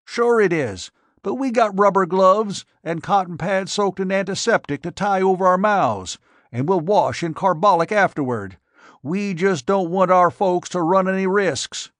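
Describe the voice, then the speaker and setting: A man's voice: high-pitched, unhurried, no echo, voice pushed up. A man voicing a stubborn, grizzled old male farmer who speaks with a rural drawl and folksy grammar. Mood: insistent.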